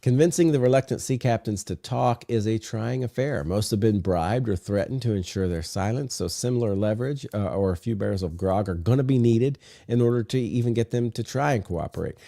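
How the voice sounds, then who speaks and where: A man's voice: fairly low pitch, a little fast, gravelly, hardly any pauses, dark tone, dry recording, studio quality. A man hosting a podcast with a sick, congested voice, chatting casually and reading text aloud with many filler "uh"s. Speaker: podcast host.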